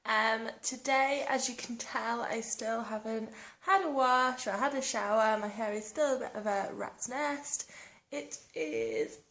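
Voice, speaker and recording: A woman's voice: a little high, measured pace. Congested, nasal young woman. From England. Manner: chatty and excitable. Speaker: vlogger. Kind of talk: casual daily vlog. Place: home, indoors.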